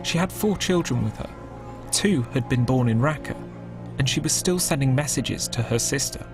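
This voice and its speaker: Measured documentary narrator, man. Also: medium pitch, lively intonation. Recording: voice-over with clear music underneath.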